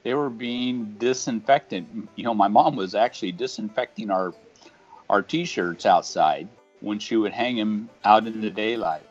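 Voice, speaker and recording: Low-voiced man explaining over soft guitar. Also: a little slow. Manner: conversational, plain-spoken, with homespun comparisons. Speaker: HVAC company representative explaining an install. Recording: dull lo-fi audio with faint guitar music.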